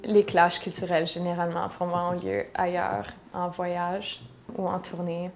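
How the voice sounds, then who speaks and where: A woman from Manitoba, a touring artist speaking in a measured way in a documentary interview. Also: lowish voice, flat delivery, gravelly, soft background music. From Manitoba, Canada.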